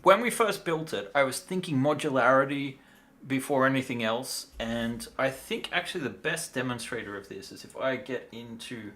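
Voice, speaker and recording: A man's voice: medium pitch, animated, moderate pace, fairly bright tone. A tired man talking casually and in a rambling way as he hosts a livestream demo. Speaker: livestream host, miniature designer.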